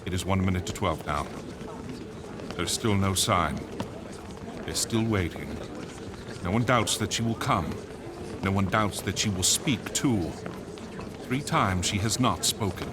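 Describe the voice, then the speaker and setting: An actor playing a tense, solemn radio announcer, giving measured, vivid live commentary on a crowded plaza in a verse drama. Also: male voice, sits low, heavy background noise. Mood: expectant, observant. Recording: clear outdoor crowd and street ambience behind.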